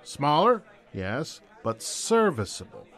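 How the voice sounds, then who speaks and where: An actor playing a sardonic, hard-headed rebel war leader in an audio drama. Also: male voice, fairly high pitch, wildly swooping pitch, takes it slowly, a bit bright, exaggerated voice. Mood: cutting. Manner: sardonic, confrontational.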